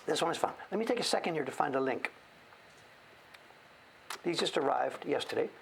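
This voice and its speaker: A man's voice: a little high, animated, brisk, gravelly, a little hiss or hum. A middle-aged man hosting a show-and-tell, chatting casually in a rambling, self-deprecating way. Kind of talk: casual livestream chat.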